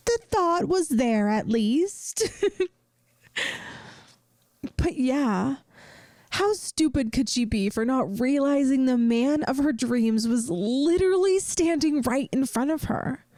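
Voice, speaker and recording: Soft, high-voiced caring young woman, acted. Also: no echo, studio quality, exaggerated voice. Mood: playful, reassuring. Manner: gentle, soothing, playful, earnest. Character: caring young woman, devoted best friend secretly in love. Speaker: audio roleplay performer. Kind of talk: soft intimate ASMR roleplay acting.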